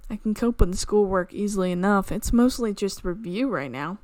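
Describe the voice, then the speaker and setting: A woman streamer reading a visual novel aloud in an expressive storytelling way, with asides. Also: medium pitch, brisk, dry recording. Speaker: video game streamer. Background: game music.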